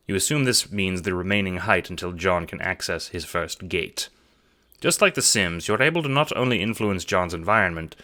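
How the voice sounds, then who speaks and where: A man narrating an audiobook-style drama in the second person with an expressive storytelling delivery. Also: low voice.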